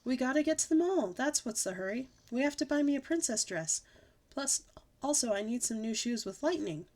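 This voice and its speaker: A woman reading aloud puts on a high, bossy little girl who can barely sit still. Her voice pushes upward and gets loud as she wheedles and demands, breathless with excitement, like a storybook kid who always wants something right now. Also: brisk. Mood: excited. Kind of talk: audiobook character voice.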